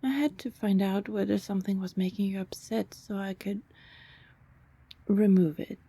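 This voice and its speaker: A woman performs a female vampire in love with her neighbor, persistent and anxious, in an ASMR roleplay. Her voice is soft and dark, with a breathy, creaky edge. She pleads in halting phrases that often sink toward a whisper. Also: mid-range voice. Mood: tender, hesitant.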